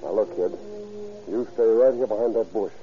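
An actor playing a brusque, slangy crook on the run in a 1930s radio crime drama. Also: male voice, on the low side, normal speed, soft background music, close to an everyday voice. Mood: bossy, furtive. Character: cocky, evasive male crook on the run. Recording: tinny, hissy old radio recording.

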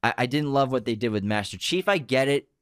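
Excitable podcast co-host guy, mid-pitched voice, casual chat, voice jumps up when he's into it. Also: fairly quick, smooth, no echo, studio quality.

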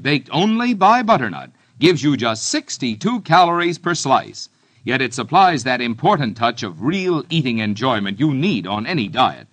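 A man with an American accent reading an old-time radio advertisement as a polished pitch. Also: a bit bright, no echo. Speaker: commercial announcer.

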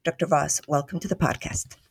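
A woman hosts an academic podcast and introduces her guest formally. Her voice has a gravelly fry, and it is recorded close and dry. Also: sits low, steady tone, brisk, no echo. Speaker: podcast host. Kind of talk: interview hosting.

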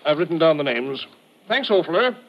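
An actor playing a chirpy, polite gentleman in a radio adventure. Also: fairly high pitch, sing-song, fairly quick, gravelly. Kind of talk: 1950s radio adventure drama. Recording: muffled, hissy old radio recording.

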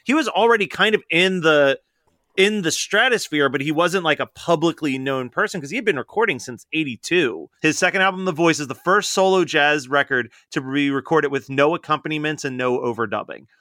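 A man's voice: on the high side, brisk, bright, forceful tone, no room sound, clean studio sound. A man co-hosting a casual podcast, relaying researched facts about a song and its artist. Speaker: podcast co-host. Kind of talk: casual chat.

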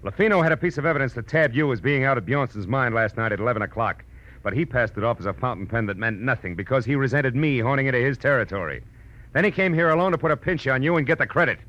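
An actor playing a wry, tough American private detective in 1940s radio style, with a sardonic, slangy delivery. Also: male voice, mid-range voice, lively intonation, fast, a bit bright, lo-fi sound, close to an everyday voice. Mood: mocking, tough. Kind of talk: 1940s radio acting, with first-person narration. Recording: old radio recording, faint music.

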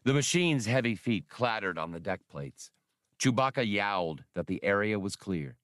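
A man's voice: lowish voice, big pitch swings, normal speed, a bit bright, no room sound, clean studio sound. A man reading adventure fiction as an audiobook narrator, in a scripted, dramatic storytelling style.

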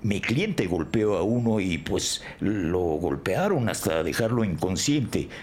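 An actor playing an indignant, shaken deli owner giving an emphatic account as a crime victim in an audio drama. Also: male voice, deep voice, expressive, slow pace, darkish tone, a little hiss or hum. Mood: agitated. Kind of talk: scripted audio drama dialogue.

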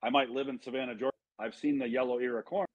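A man from Illinois co-hosting an interview, talking in a thoughtful, halting way. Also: medium pitch, steady tone, husky, dry recording. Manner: thoughtful, halting, restarts often. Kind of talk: casual interview talk. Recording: dry dull lo-fi sound.

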